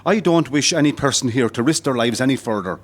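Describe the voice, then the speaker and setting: A man plays a resolute Irish commandant in a historical audio drama. He addresses his troops formally and solemnly, in measured phrases, with a medium-pitched voice. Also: expressive, close to an everyday voice. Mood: solemn resolve. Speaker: actor in an amateur audio play.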